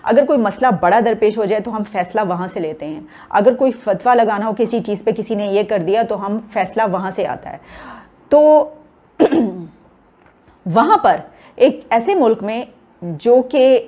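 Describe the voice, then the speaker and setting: A woman with a Pakistani accent speaks as a commentator in an unscripted news discussion. Her voice is soft-edged and mellow, at an average female pitch. She builds her explanation step by step. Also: animated, a little slow. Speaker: talk show commentator.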